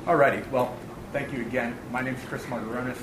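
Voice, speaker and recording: A man's voice: mid-range voice, fairly even intonation, a little fast, distant mic. Casual young male panel moderator, echoey. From California. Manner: casual and upbeat. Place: large hall with audience. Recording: echoey room with background noise.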